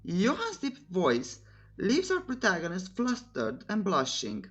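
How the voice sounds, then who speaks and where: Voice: mid-range voice, huge pitch swings, measured pace, fairly bright tone, highs cut off. A narrator giving a scripted story recap in an informal, wry way, breaking off for joking asides. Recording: clear music bed under voice. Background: background music.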